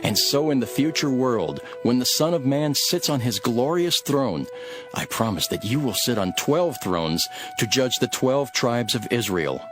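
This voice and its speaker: A man playing a calm, authoritative religious teacher in a dramatized scripture, solemn and measured. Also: medium pitch, close to an everyday voice. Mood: solemn, assuring. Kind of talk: dramatized scripture reading with storytelling. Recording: background music under the voice.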